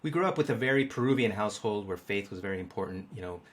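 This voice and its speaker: Low-voiced middle-aged man, halting delivery. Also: animated. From born in Peru, raised in the United States. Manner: halting, repeats words as he searches for phrasing. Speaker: interview guest, market researcher. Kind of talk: casual conversational interview.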